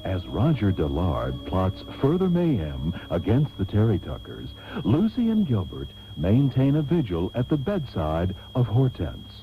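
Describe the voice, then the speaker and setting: A man's voice: fairly low pitch, huge pitch swings, a little slow, a little hiss or hum. A man playing a grandly earnest host, narrating a comedic radio serial in a mock-solemn, theatrical style. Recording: tinny, narrow old radio tape.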